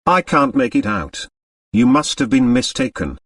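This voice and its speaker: A man's voice: medium pitch, very animated, moderate pace, a bit bright, no room sound, studio quality, distant mic. Man playing a curt, preoccupied older millionaire. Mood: puzzled, insistent. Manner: curt, insistent. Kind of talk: audiobook character voice.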